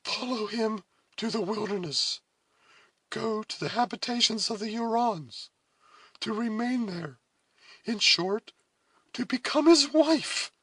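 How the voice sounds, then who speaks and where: A man voicing a noble young woman, a solemn elder sister in a storybook, speaking with deliberate, heavy emotion. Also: no room sound, full character voice. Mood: desperate pleading. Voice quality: high, forceful.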